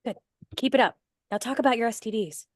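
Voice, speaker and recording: A woman's voice: fairly high pitch, fast talker, close to an everyday voice. A woman acting a supportive, blunt young best friend in a table read of a horror film script. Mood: breezy, encouraging.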